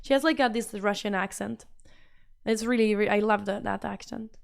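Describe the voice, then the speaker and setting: A woman's voice: medium pitch, moderate pace, gravelly. A young woman with a Swedish accent, a streamer chatting casually and reading out her chat, bubbly and repetitive. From Sweden.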